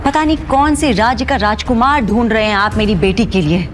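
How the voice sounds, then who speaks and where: A woman's voice: a little high, fairly quick, over music, natural voice. A woman playing a proud, exasperated, wealthy middle-aged mother in an audio drama, indignant and dramatic. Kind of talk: Hindi audio drama acting.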